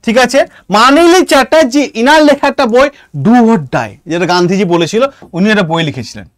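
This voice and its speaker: Very high-pitched Bengali man teaching class. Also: expressive, a little fast, fairly bright tone, no room sound, studio quality. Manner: repetitive, emphatic, dictating points. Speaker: exam-prep history teacher. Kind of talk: online class lecture, addressing students informally.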